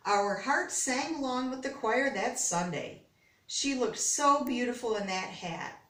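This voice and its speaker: A woman reading a children's story aloud, pausing to explain words and pictures as she goes. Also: on the high side, expressive, fairly bright tone. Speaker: storybook reader. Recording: echoey room, distant microphone.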